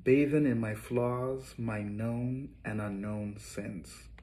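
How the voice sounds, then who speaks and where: A man's voice: medium pitch, fairly even intonation, slow pace, warm tone, slight background noise. A man, an author and poet, introducing and reading his own work.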